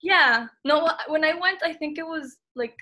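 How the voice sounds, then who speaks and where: A woman's voice: sits high, normal speed, sharp tone. A teenage girl from El Salvador hosting a casual podcast chat, chatty and upbeat with many fillers. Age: teens. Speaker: podcast host.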